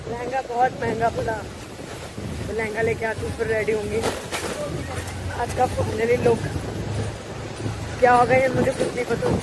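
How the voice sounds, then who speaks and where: Brief: hoarse woman vlogger, pitched a bit high, chatty and teasing, filming on a phone. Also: flat delivery, moderate pace, long pauses, heavy background noise. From Uttar Pradesh, India. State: hoarse, sore throat. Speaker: vlogger host. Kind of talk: casual family vlog chatter.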